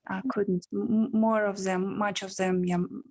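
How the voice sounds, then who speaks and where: A woman's voice: medium pitch, flat delivery, moderate pace, darkish tone. A woman asking a question on a video call, hesitant and often restarting her phrases. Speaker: audience member asking a question. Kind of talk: online Q&A question.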